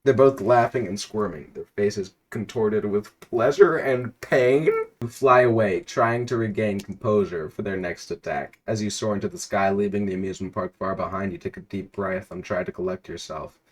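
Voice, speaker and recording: A man's voice: fairly low pitch, normal speed, hardly any pauses. A man narrating an absurd interactive story aloud in a theatrical, emphatic way. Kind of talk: dramatic read-aloud of absurd interactive fiction.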